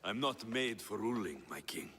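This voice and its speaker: An actor playing an earnest, defiant young Spartan warrior in a video game, speaking in a blunt, intense way. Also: male voice, fairly low pitch, animated, normal speed, fairly bright tone, big-room sound, slight background noise. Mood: subdued. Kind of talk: dramatic video game acting.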